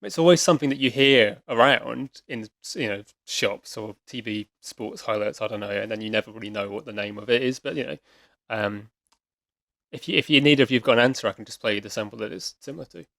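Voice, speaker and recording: A man's voice: medium pitch, fairly quick, gravelly, a bit bright, no echo. A man hosting a casual podcast quiz, chatty and explaining at length, often repeating words as he thinks. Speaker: quiz host.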